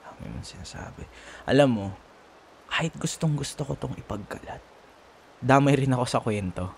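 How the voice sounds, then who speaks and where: A man's voice: mid-range voice, expressive, moderate pace, vocal fry, a little hiss or hum. A young man with a Filipino accent acting a cocky, grudging student rival in an ASMR roleplay, teasing and sarcastic in casual banter. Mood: grudging, bickering. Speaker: voice actor.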